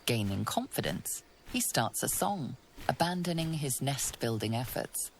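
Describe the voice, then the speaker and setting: A woman's voice: extremely low pitch, big pitch swings, unhurried, a little hiss or hum, full character voice, rough recording. A woman playing a storybook-style narrator in a fantasy game, describing scenes in a storytelling manner. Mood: gentle storytelling.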